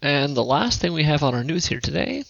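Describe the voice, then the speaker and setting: A man's voice: fairly high pitch, big pitch swings, a little fast, creaky, fairly bright tone, muffled recording. A man hosting a podcast, introducing news items in a plain presenting style. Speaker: podcast host.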